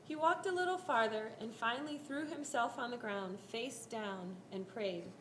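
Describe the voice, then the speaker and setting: A woman's voice: on the high side, moderate pace. A woman narrating a scripted scripture story as part of a reader's theater performance. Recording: echoey room, faint background noise.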